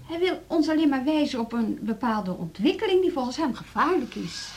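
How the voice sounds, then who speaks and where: Woman, radio drama, school leader, rather high voice, earnest, measured, conciliatory. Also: expressive. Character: conciliatory, reasonable female music-school leader.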